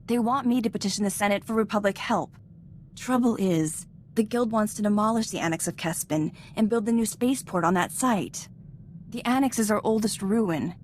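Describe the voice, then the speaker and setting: A woman's voice: mid-range voice, normal speed, darkish tone, a little hiss or hum, lightly acted. An actress playing a modest, eager young female Jedi apprentice in a video game, earnest and a little hesitant in a conversational way. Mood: thoughtful, earnest. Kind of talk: scripted video game voice acting.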